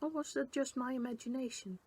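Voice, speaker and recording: A woman's voice: high voice, fairly even intonation, a little fast, darkish tone, slightly rough, no echo. Woman reading a story, close and dry. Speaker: story reader. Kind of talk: first-person storybook narration.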